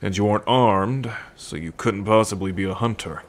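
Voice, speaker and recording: A man's voice: fairly low pitch, a little hiss or hum, lightly acted. A man playing a wary, curious merman in an ASMR roleplay, speaking hesitantly and full of questions to the listener. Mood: puzzled, suspicious. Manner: slow, hesitant, questioning delivery. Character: wary, curious young merman, gruff rescuer.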